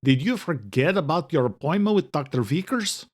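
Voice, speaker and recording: A man's voice: on the high side, sing-song, normal speed, a little mellow, pitched above the performer's own voice. A man voicing an audacious, loyal woman housekeeper who speaks in a pointed, disapproving way. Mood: worried scolding.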